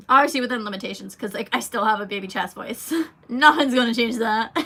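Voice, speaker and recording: Bright chatty young woman. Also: a little high, animated, normal speed. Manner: chatty, rambling, lots of fillers, laughs often. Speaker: singer. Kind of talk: casual livestream Q&A, reading viewer comments.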